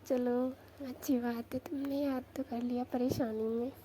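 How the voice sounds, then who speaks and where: A woman's voice: on the high side, flat delivery, measured pace, very noisy, natural voice. A woman playing a coy village sister-in-law on a phone call, with a Rajasthani accent and a languid, teasing manner. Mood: fond. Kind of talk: staged phone call, casual chat.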